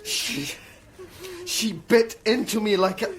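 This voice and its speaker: An actor playing an earnest, lovestruck young wounded soldier in a radio drama, fraught and halting. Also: male voice, high voice, sing-song, full character voice. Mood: shaken.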